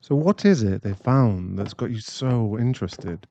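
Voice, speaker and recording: A man playing a polite male doctor and lead researcher in a soft-spoken ASMR drama, on a dull, noisy recording. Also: fairly low pitch, expressive, measured pace, gravelly. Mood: polite, curious.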